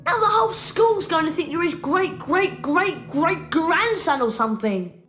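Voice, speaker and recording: A woman playing an excitable young schoolboy wizard in a video game. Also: very high-pitched, a little slow, soft background music, heavily acted. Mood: incredulous.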